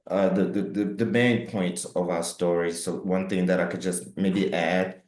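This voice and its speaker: A very low, flat-voiced man from Cameroon tells his business story and stops often to restart his phrases. His voice rings in a roomy, echoing space. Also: measured pace. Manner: hesitant, many fillers and restarts. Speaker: co-founder of a housing firm. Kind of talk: interview answer.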